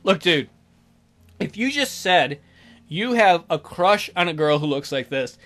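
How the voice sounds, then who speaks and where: A man's voice: high-pitched, expressive, a little slow, fairly bright tone, faint music behind. A man reading a visual novel aloud as a let's player, adding sardonic asides.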